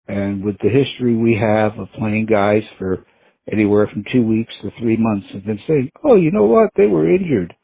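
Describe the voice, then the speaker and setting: An American man in his seventies leaving a casual sports voicemail as a caller, with a husky voice. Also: lowish voice, no room sound. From Pennsylvania, United States. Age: 70s. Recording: muffled narrow phone line.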